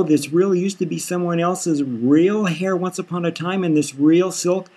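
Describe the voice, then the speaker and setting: A man's voice: on the high side, breathy edge, pitched above the performer's own voice. A man voicing a vain, chatty woman who gushes in run-on chatter in an audiobook. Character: vain, chatty woman proud of her geisha costume.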